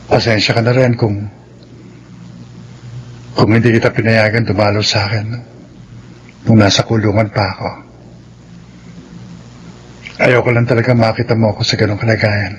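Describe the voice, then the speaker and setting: An actor playing a gentle, remorseful ex-convict older brother in a Filipino radio drama. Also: male voice, fairly low pitch, flat delivery, a little fast, long pauses, lo-fi sound, a little hiss or hum, lightly acted.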